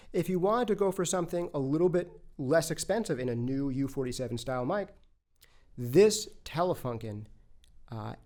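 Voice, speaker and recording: A man's voice: on the high side, darkish tone. A man presenting in a conversational, explanatory way, speaking closely into studio microphones as if reviewing products. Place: recording studio.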